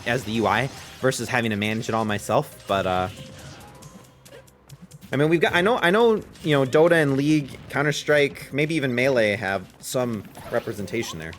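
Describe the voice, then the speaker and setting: A young man hosting a game stream, giving lively, excitable play-by-play and laughing often with his co-host. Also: medium pitch, normal speed, faint music behind. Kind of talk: casual game commentary.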